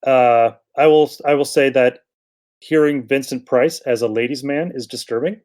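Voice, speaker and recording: Male podcast host, mid-pitched voice, casual chat, halting, thinking aloud. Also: darkish tone.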